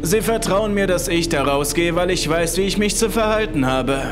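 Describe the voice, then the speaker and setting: A man voicing a gruff, commanding expedition gunman in a German horror audio story. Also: sits high, expressive, moderate pace, over music, pitched above the performer's own voice. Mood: condescending, brusque.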